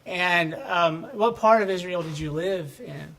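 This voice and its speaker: Slightly high-voiced male podcast host. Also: a little slow, creaky, a bit bright, poor audio. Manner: friendly, asks short follow-up questions. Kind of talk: casual interview.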